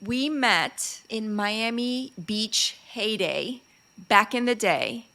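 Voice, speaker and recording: A middle-aged woman from Chile hosting a podcast, chatting casually, bubbly and quick to laugh as she tells stories. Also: on the high side. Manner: bubbly, laughs often, animated storytelling. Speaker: podcast host. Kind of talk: casual interview chat.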